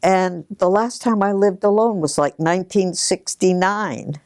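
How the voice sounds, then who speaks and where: An older woman speaks in a low register at a slow, unhurried pace. She gives a reflective, personal account, recorded close and dry. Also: a little mellow, dry recording. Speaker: interviewee.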